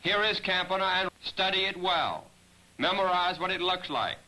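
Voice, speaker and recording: Bright, forceful 1940s military briefing narrator, male. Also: sits high, expressive, rough recording. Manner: measured, directive, addressing aircrews. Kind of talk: scripted training film narration, instructional briefing. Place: voice-over recording for a 1943 film. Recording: 1940s training film soundtrack, radio-like.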